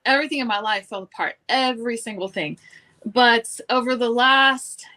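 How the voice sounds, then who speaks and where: A middle-aged woman with a slightly high voice, a guest on an interview show. She talks casually and pokes fun at herself, acting out her own thoughts as she tells stories. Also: normal speed, bright, forceful tone. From Cuba. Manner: chatty, self-deprecating, acts out her own thoughts.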